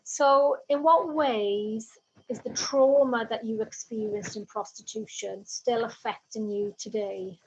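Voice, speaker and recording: A woman moderating a webinar over a video call, asking questions in a gentle, measured way. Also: on the high side, fairly even intonation, muffled recording, a little hiss or hum.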